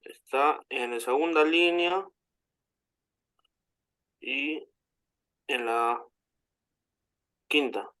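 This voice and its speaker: A man's voice: mid-range voice, drawn-out words, long pauses, sharp tone. A male student politely proposing corrections to a paragraph in class, addressing the teacher respectfully and hedging his suggestions. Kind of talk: classroom discussion.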